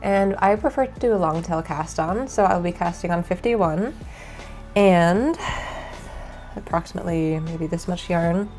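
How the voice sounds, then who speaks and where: A woman with a stuffy, cold-affected voice, explaining a knitting tutorial in a relaxed way with frequent ums. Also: fairly low pitch, a little slow, soft background music. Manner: relaxed, many ums and uhs. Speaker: knitting instructor.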